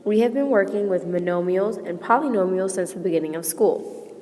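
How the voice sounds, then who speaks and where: Hoarse woman teaching a math lesson. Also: mid-range voice, fairly quick, warm tone, long reverb tail. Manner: patient, explains step by step with frequent "okay?" checks. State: sick, losing her voice. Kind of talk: recorded classroom lesson.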